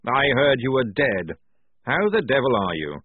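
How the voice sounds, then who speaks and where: A man voicing a calm, unflappable senior general who speaks slowly and measuredly. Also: medium pitch, husky, dry recording, voice pushed up. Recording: dry, tinny phone-like sound.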